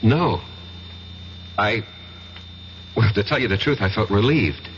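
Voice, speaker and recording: An actor playing an anxious, defensive professor in a 1970s radio drama, hesitant and pleading yet insistent. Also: male voice, fairly low pitch, slow. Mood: uneasy recounting. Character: anxious, defensive male professor accused of killing. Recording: muffled, noisy 1970s radio recording.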